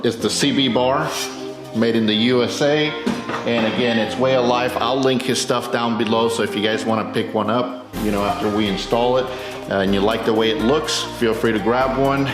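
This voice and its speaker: A man's voice: lowish voice, steady tone, normal speed. A man hosting a casual, unscripted how-to video, explaining gear in a conversational way as he unboxes it. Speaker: video host demonstrating car accessory installation. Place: tight indoor space, roomy sound. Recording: roomy echo, music underneath.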